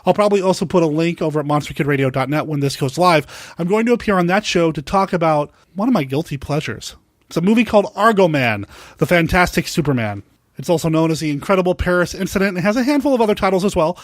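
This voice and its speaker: An American man with a somewhat high-pitched voice hosts a casual podcast. He talks like a keen fan, full of compliments. The sound is close and dry, with no room to it. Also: lively intonation, fast, no room sound. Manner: enthusiastic, complimentary. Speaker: podcast host. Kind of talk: casual podcast talk on classic monster movies.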